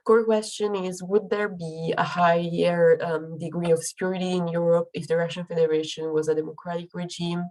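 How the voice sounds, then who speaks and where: A woman's voice: on the low side, flat delivery, normal speed, hardly any pauses, darkish tone, low-quality recording. A young woman presenting her research, her voice affected by a cold, speaking carefully with frequent ums and restarts. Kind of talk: academic presentation.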